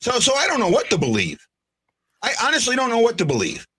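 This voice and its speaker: A man's voice: extremely high pitch, wildly swooping pitch, quick pace, bright, forceful tone. A man hosting a sports podcast in casual chat, often hesitating, restarting sentences and checking in with "right?". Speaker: podcast host.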